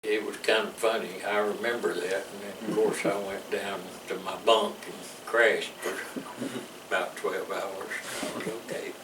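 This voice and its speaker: A man's voice: fairly low pitch, little pitch movement, moderate pace. An older man with a Southern American accent, telling his service memories in a rambling, folksy way with chuckles between stories. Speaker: veteran interviewee. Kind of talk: recalling memories in an interview. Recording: echoey room, mic far off, clear background noise.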